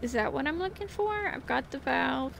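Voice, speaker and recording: A woman's voice: sits high, expressive, moderate pace, creaky, a bit bright, a little hiss or hum. A woman giving casual gameplay commentary on a horror game, playfully thinking aloud as she goes. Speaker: gaming video host playing a horror game.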